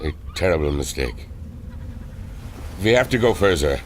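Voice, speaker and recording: Adventure radio-play captain, male actor: low, cryptic, grave, expressive swings in pitch. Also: normal speed, slight background noise. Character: secretive, grave airship captain and inventor. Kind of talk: audio drama acting.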